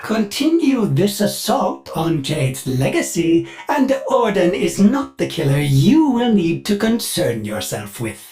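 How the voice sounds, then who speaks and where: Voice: medium pitch, very animated, unhurried, few pauses. An actor voicing a prim, loyal and defiant artificial intelligence servant in a video game, with formal speech, glitchy stutters and synthetic processing. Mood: menacing. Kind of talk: theatrical scripted video game dialogue.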